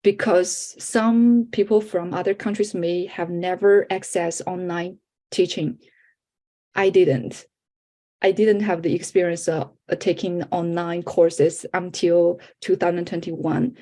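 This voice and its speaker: A young woman who speaks Chinese as her first language gives a conference presentation in English. She talks in a slightly low, warm, soft tone. Her delivery is halting, full of fillers and restarted words. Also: steady tone. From China. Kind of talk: online workshop presentation.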